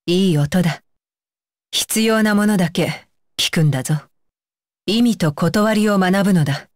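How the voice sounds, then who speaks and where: A woman's voice: lowish voice, measured pace, no echo, studio quality, natural voice. A woman voicing a stern, dutiful young female ninja bodyguard for an anime game, with clipped, commanding and blunt phrasing. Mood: calm, instructive. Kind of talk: Japanese anime game voice lines.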